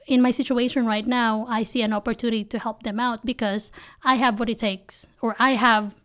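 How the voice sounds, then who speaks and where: Higher-pitched woman with a Filipino accent. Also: level tone, a little fast, a bit bright. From Philippines. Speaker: company founder and CEO, interview guest. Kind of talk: conversational interview answers, personal storytelling.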